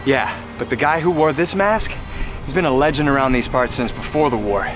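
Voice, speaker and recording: An actor playing a rugged post-apocalyptic wanderer who tells boastful tall tales in a folksy, emphatic way, in a film drama. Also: male voice, mid-range voice, fairly quick, background noise, natural voice. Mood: knowing. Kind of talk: film dialogue, campfire storytelling.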